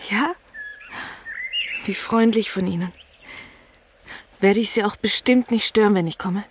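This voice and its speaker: An actress playing a young aristocratic lady in a radio drama, gentle, polite and wistful. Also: medium pitch, a little slow, background noise, lightly acted. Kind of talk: German radio drama acting. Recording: studio radio drama with music.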